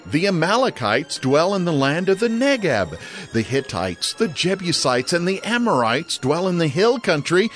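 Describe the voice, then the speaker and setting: Wary Israelite scout, emphatic warning tone, raised voice with lively pitch, audio Bible. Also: male voice. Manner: emphatic, cautioning. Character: wary male Israelite spy giving warning. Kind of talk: dramatized audio Bible acting.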